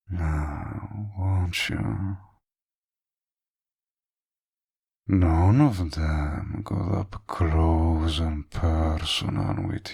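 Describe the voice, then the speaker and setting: A man playing a smug but tender villain in love, speaking intimately to the listener in a romantic audio roleplay, with a low, husky voice. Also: darkish tone, exaggerated voice. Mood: flirtatious. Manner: slow, murmuring, teasing. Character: lovestruck male villain, smug yet tender, persistent suitor. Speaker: voice actor.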